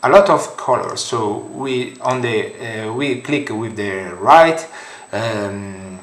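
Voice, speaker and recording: Slow, halting man with Italian accent. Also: fairly low pitch, reverberant. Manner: halting, many fillers and restarts. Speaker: software tutorial creator. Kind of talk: screen-recorded software tutorial.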